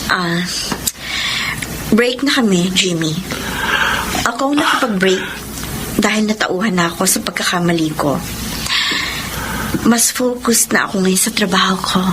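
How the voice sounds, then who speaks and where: A woman's voice: medium pitch, measured pace, long pauses, background noise, natural voice. An actress with a Filipino accent playing an earnest, emotional young working woman in a radio drama, a repentant and grateful daughter. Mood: calm, sincere.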